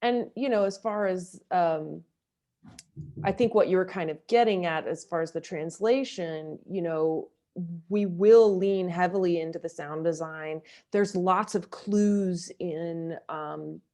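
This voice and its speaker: A woman's voice: fairly low pitch, slow, mellow. A woman speaking as a director, explaining her work in an interview.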